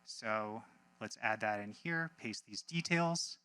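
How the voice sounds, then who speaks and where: A man giving a casual live software demo as a team presenter, informal and breezy with wry asides. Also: fairly low pitch, lively intonation, takes it slowly, clean tone.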